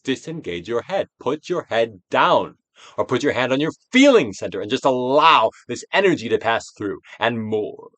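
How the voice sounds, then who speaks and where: A man narrates a self-help book like a stage performance. His pitch swings widely as he swells and drops his emphasis with deliberate care. The recording is dry and close, with hardly any room sound. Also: big pitch swings, fairly bright tone, no room sound. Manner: theatrical, deliberate delivery with swelling and falling emphasis. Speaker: narrator, audiobook reader. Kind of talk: dramatic reading of a self-help book, guided exercise.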